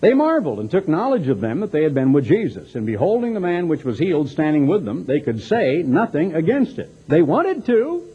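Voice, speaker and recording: A man's voice: on the high side, breathy edge. A man with a Texas accent preaching a sermon, reading scripture and commenting on it in an emphatic, dramatic way with rhetorical questions and mocking asides. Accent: American, Texas. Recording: dull lo-fi sermon recording.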